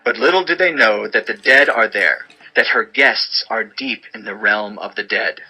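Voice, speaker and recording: Forceful, sharp-voiced male scripture narrator. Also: on the low side, moderate pace, breathy edge, low-quality recording. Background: music bed.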